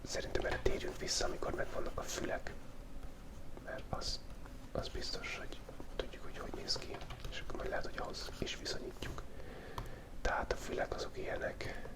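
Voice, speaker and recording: Whispered, playful ASMR artist. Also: poor audio. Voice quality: breathy, unvoiced whisper. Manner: playful, joking, musing aloud. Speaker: ASMR video creator narrating a drawing. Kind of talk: whispered ASMR, rambling casual drawing commentary. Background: writing and drawing sounds, keyboard clicks.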